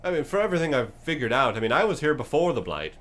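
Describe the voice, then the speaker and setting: A man voicing a giant undead skeletal oracle, absent-minded and casual, rambling in halting phrases in a tabletop game. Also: mid-range voice, very animated, fairly bright tone. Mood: nonchalant.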